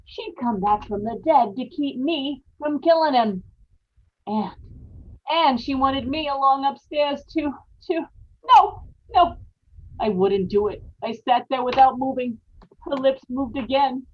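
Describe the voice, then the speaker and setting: A woman's voice: sits high, measured pace. A woman playing a terrified, guilt-ridden wife losing her grip, in old-time radio horror style, dramatic and obsessively repetitive. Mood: panicked. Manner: dramatic, haunted, repeating words obsessively. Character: terrified, unraveling young farm wife, guilt-ridden and paranoid. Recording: dull lo-fi live recording with background noise.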